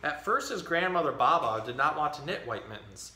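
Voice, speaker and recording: A man in his thirties hosting a storytime, reading a children's picture book aloud with expressive, clearly enunciated delivery. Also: a little high, fairly quick, slight background noise. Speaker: storytime host. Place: indoor tiled-floor room. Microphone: camera a few feet away. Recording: echoey room.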